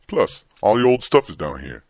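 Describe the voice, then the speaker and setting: A man acts as a kindly middle-aged dad in an audio comedy. His voice sits fairly low and moves with lively pitch, earnest and full of fatherly reassurance. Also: moderate pace, no echo, studio quality. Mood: welcoming. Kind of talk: comedic audio drama acting.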